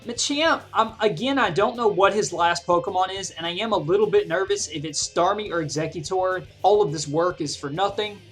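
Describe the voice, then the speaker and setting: Game commentator over background music. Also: medium pitch. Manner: conversational, explanatory, thinking through moves aloud. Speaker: gaming video creator commentating own battle. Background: music behind the voice.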